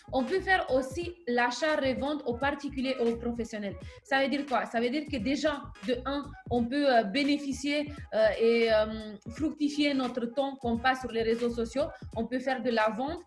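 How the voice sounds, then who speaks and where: A woman in her twenties from Romania giving a sales presentation, eager and fluent but with frequent hesitations. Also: a little high. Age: 20s. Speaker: network marketing presenter.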